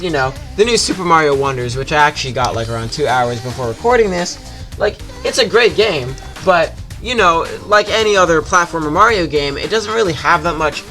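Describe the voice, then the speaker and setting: Animated, bright-voiced young-sounding man reviewing games. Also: a little high. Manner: animated, jokey, casual swearing. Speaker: YouTuber reviewing video games. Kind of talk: scripted casual video review.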